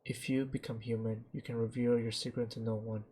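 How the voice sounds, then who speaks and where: A man narrating game text aloud in a dramatic, halting way, stumbling over some words as he reads. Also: mid-range voice, little pitch movement, darkish tone, slightly rough.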